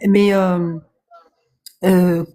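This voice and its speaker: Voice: mid-range voice, flat delivery, drawn-out words, warm tone. A guest on a live stream patiently explains a point, with many fillers and repeated words. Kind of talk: casual debate on a live stream.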